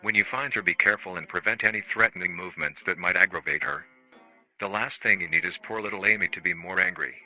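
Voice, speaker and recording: A man playing a formal, matter-of-fact research-facility narrator on an analog horror staff training tape, calmly chilling and euphemistic. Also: low voice, fast, faint music behind, low-quality recording. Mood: politely menacing.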